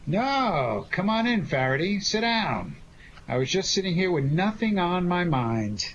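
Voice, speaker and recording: A man's voice: high voice, sing-song, unhurried, fairly bright tone, full character voice. A man playing an unflappable, sardonic amateur sleuth who trades slangy wisecracks in a 1940s-style radio detective drama. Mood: smug, teasing. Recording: dull lo-fi audio with faint noise.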